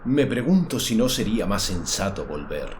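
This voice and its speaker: A great, weary luck dragon played by a man speaks in a low, resonant voice. He is calm, wise and measured, in the manner of a fantasy audio drama. There is clear background noise behind him. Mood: calm, concerned. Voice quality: deep, resonant bronze voice.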